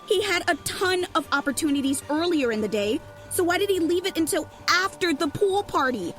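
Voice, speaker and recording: A hot-headed young woman, acted by a woman in an audio drama. Her voice is high and swings widely as she makes blunt, insistent accusations over faint music. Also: animated, fairly quick, fairly bright tone. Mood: heated, accusing. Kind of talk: fan audio drama acting.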